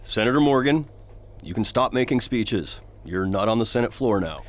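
An actor playing a calm, unimpressed head of a space police service in a radio drama, dry and curt. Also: male voice, on the low side, moderate pace, close to an everyday voice.

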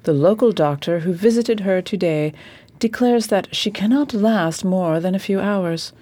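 A woman's voice: medium pitch, measured pace, dark tone, dry recording, natural voice. An actress playing a shrewd, stern lady detective with a formal, commanding manner in a period radio mystery. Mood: reading out. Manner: crisp commands, unsentimental, formal.

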